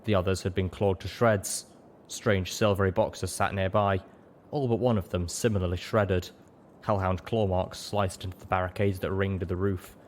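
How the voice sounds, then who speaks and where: Low-pitched man narrating a story. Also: fairly even intonation, normal speed, slight background noise. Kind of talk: scripted first-person story narration.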